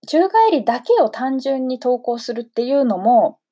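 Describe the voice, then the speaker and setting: A woman's voice: sits high, very animated, moderate pace, warm tone. A woman hosting a chatty local radio interview, speaking politely and keenly and showing appreciation for her guest.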